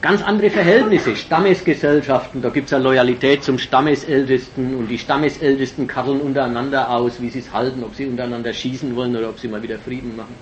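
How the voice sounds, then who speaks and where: A man giving an emphatic political lecture, arguing step by step and often pausing with fillers. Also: mid-range voice. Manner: emphatic, analytical, with many fillers and restarts. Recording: dull lo-fi recording with faint hiss.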